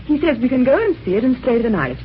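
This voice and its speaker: A woman's voice: high-pitched, very animated, fast. A woman playing a determined, genteel British wife in an old radio drama. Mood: keen. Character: brisk, determined middle-class English wife. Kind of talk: 1950s radio drama acting. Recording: dull, noisy old radio recording.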